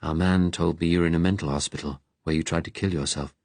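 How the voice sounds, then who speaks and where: Man playing a steady, kindly, brisk vicar. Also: fairly low pitch, slightly rough, no echo. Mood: gentle, steady. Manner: gentle but brisk, direct. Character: kindly, weary male vicar, calm and firmly commanding.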